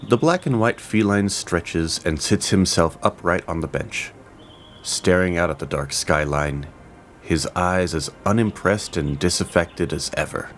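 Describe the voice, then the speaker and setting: Deep-voiced male storyteller. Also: a bit bright. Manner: measured, descriptive. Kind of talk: scripted narration. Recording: music underneath.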